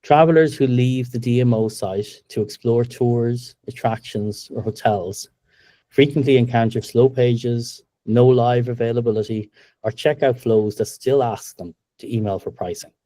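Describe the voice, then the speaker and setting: A middle-aged Irish man giving a business webinar presentation over a video call, upbeat and steady, with frequent ums. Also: mid-range voice, normal speed, no room sound. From Ireland. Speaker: webinar presenter, company co-founder.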